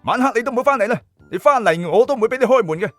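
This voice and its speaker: A man's voice: very high-pitched, animated, racing, fairly bright tone, no room sound, soft background music. A man playing a pushy, thick-skinned small-time gangster in an audiobook, brash and needling, with a Cantonese accent. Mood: threatening. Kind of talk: audiobook character acting.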